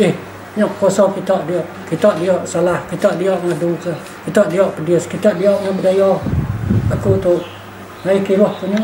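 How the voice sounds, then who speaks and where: An older man, speaking informally and emphatically as an interviewee, often repeating himself and adding questioning tags. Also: high-pitched. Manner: emphatic, repetitive, with rhetorical tag questions. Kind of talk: informal spoken reflection. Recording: roomy echo, faint background noise.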